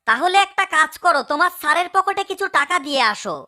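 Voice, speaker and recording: Squeaky, eager little cartoon mouse. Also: female voice, lively intonation, brisk, bright, forceful tone. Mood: scheming, eager. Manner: eager, urging, exclaiming. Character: small, scheming, excitable cartoon mouse. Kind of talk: cartoon fan dub.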